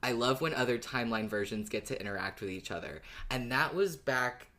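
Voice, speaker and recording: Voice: mid-range voice, expressive, a little fast, pushed and bright. Casual podcast co-host, mid-range voice. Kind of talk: casual fan discussion podcast.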